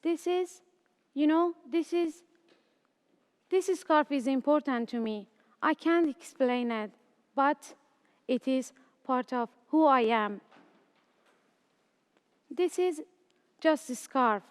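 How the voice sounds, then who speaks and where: A young woman in her late teens from Afghanistan, raised in Iran, a student reading her personal story slowly, carefully and earnestly. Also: high-pitched, long reverb tail.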